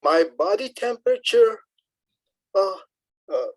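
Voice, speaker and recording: A vain old charmer played by an amateur actor in a radio comedy, boasting at a very slow, drawn-out pace. The delivery swoops up and down with self-satisfaction. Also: mid-range voice, expressive. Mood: smug, boastful.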